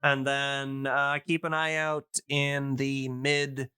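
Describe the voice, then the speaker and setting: A man's voice: medium pitch, level tone, takes it slowly, sharp tone, smooth. A man chatting casually as a podcast guest, hesitantly thinking aloud. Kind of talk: casual chat.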